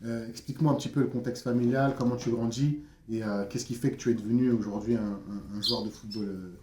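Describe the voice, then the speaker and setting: A man hosting a casual interview, quick and chatty with many fillers and restarts. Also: medium pitch, fairly even intonation, echoey room, noisy background. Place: outdoors in a neighbourhood. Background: friends chanting nearby.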